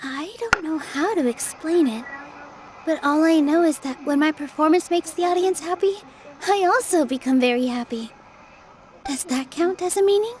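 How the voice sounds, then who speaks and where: An actress playing a gentle, modest young theatre dancer in a video game, soft-spoken and earnest. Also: female voice, sits high, animated, moderate pace, rough recording.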